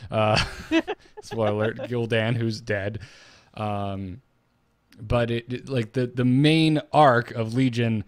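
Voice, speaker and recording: A man's voice: mid-range voice, steady tone, takes it slowly, no echo. A man with a mid-range voice co-hosts a casual gaming podcast. He argues his opinions with emphasis, often rewording as he goes. The recording is very dry, without any room sound. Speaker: podcast co-host.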